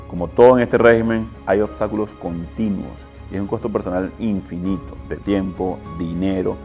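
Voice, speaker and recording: A man narrates a field report in a very deep, calm voice, measured and steady. Soft music plays beneath his words.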